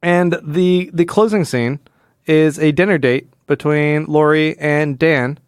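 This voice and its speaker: A man co-hosting a podcast, casually discussing comics in a halting way, repeating words as he goes. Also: fairly high pitch, steady tone, clean tone, no echo, clean studio sound. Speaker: podcast co-host.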